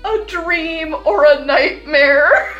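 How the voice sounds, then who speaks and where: A woman hosting a podcast in casual, joking chat, with an animated, giggly, mock-incredulous manner. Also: sits high, extremely slow, highs cut off, faint music behind. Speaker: podcast host. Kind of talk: casual, joking chat about visual novels.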